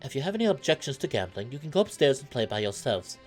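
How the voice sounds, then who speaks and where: A man voicing a gruff but hospitable animal innkeeper in a fantasy story. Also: mid-range voice, big pitch swings, fast talker, faint music behind, pitched above the performer's own voice, lightly acted. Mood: firm, inviting.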